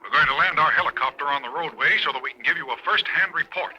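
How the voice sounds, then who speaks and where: A man playing an excited male radio announcer reporting from a helicopter in a 1940s radio drama, with brisk announcer delivery. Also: a little high, breathy edge, muffled recording, heavily acted.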